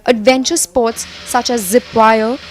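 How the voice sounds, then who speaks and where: A woman reporter giving measured, scripted documentary narration, partly recorded outdoors at a quarry site. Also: a little high, fairly even intonation, soft background music, rough recording.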